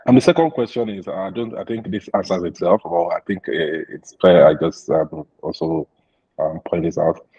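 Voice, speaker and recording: A man with a very deep voice asks his questions in halting bursts, stopping often for "um". His tone is husky and mellow. The sound is dull and lo-fi. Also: fairly even intonation. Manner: hesitant, many ums, halting questions. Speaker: interview host.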